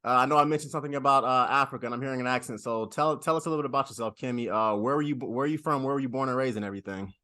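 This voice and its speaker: A man's voice: mid-range voice, rapid-fire, few pauses, pushed and bright. A man hosting a podcast, talking casually with many fillers and repeats. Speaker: podcast host. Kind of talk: casual interview chat.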